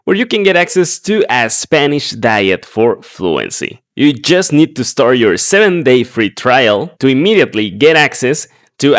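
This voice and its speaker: A man who hosts a language channel, reading a scripted promo in an upbeat sales tone. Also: mid-range voice. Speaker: channel host.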